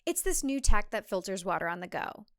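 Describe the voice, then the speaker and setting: Woman playing a wry, skeptical city visitor, clear-voiced. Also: mid-range voice, very animated, fairly quick, fairly bright tone, dry recording, clean studio sound. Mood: polite.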